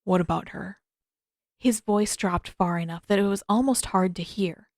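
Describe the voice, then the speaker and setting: A woman reading scripted fiction as a narrator, with expressive, dramatic emphasis. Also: medium pitch, normal speed, no room sound, studio quality. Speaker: audiobook-style fan fiction reader.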